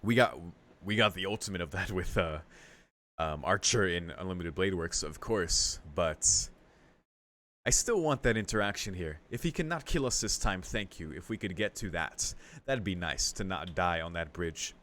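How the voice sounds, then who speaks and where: A man's voice: deep voice, expressive, moderate pace, fairly bright tone. A man streaming a visual novel, reading the story text aloud and adding casual, musing commentary with some swearing.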